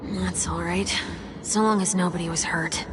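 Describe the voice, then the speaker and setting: An actress playing an easygoing, groggy young woman in a video game, breathy and casual. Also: on the low side, steady tone, natural voice. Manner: unhurried, casual. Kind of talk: scripted video game voice acting. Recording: music behind the voice.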